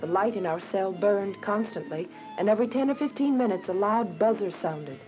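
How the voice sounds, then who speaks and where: An earnest, devout young woman played by an actress narrates a radio drama. Her voice is mid-pitched, with clear music behind it on a dull recording. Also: animated, a little fast, close to an everyday voice. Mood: somber, reflective.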